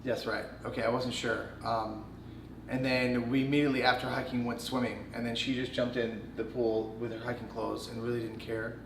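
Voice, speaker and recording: Chatty man with a gravelly voice. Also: medium pitch, moderate pace. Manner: chatty, self-mocking, animated quoting. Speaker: professional choreographer. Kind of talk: casual storytelling. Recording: roomy, distant mic with faint noise.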